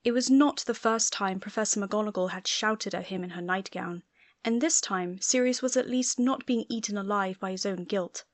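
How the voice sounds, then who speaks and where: Woman audiobook narrator, reading a story, mid pitch, dry close mic. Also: normal speed, no echo.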